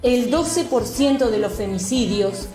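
Argentine woman, higher voice, declaiming an activist manifesto over soft music in an echoey space. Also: lively intonation, measured pace. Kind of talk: scripted declaration. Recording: roomy echo, music behind.

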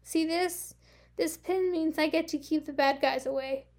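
A woman voicing a gentle, protective male police captain in a romance story she reads aloud, speaking in a soft, low murmur. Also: steady tone, a little slow. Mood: soothing.

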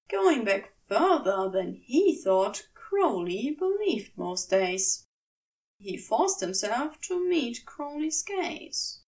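Female fiction narrator: high voice, unhurried, expressive, slightly back from the mic. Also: gravelly, recorded from a distance. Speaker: podfic reader. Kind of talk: expressive scripted story narration.

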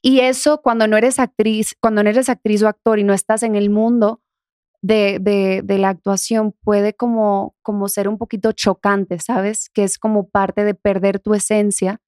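A young adult woman from the Dominican Republic with a softened Dominican accent, talking casually as an interview guest. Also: mid-range voice, little pitch movement, normal speed, no echo, clean studio sound. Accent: Dominican, softened toward neutral Spanish.

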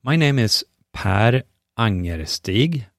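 A middle-aged man with a Swedish accent and a low, deep voice delivers a scripted podcast monologue. He talks in an earnest, measured, encouraging way, close and dry with no room sound. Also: sing-song, no room sound. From Sweden. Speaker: podcast host.

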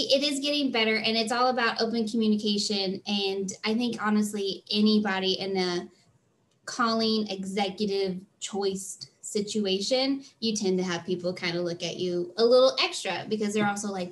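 A woman with a slightly high-pitched voice, a freelance theater tour manager answering interview questions in a chatty, earnest way with many fillers. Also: fairly even intonation, normal speed.

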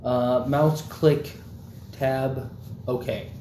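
A young man talks in loose, rambling casual chat, full of fillers and swearing. His voice is dark and mellow. The room is echoey, with a faint hum of background noise. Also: medium pitch, fairly even intonation, drawn-out words.

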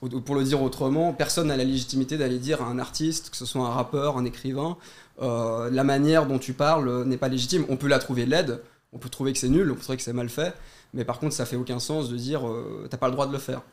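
A man's voice: medium pitch, steady tone. Argumentative man debating. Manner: argumentative, measured, stammers on restarts. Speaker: YouTuber guest.

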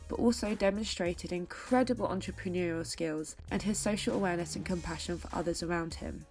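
A young woman hosting a podcast, speaking with a blocked-up nose from a cold, upbeat as she thanks her guest and closes the episode. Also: fairly low pitch. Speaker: podcast host. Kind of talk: podcast outro.

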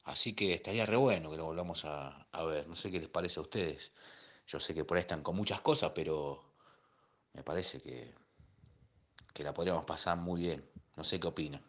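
A man's voice: rumbling low, a little fast, fairly bright tone. A man with an Argentine accent playing a timid, unsure middle-aged man who speaks hesitantly. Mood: tentative. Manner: hesitant, tentative.

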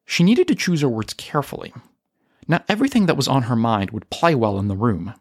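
A man narrates a scripted story with a lively, animated range at a medium pitch. The recording is dry, with no room sound around the voice. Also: brisk, no echo. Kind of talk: scripted audio drama narration.

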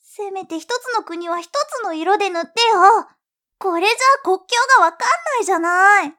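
A woman playing a naive, excitable little sister in an audio drama, with a childlike, innocent manner. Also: very high voice, normal speed. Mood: flustered protest. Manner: childlike, innocent, readily protesting. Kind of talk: Japanese audio drama acting.

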